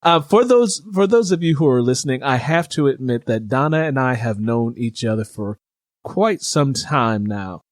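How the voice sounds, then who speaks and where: A man's voice: medium pitch, expressive, normal speed, dry recording. A middle-aged American man hosting a podcast and interviewing an author.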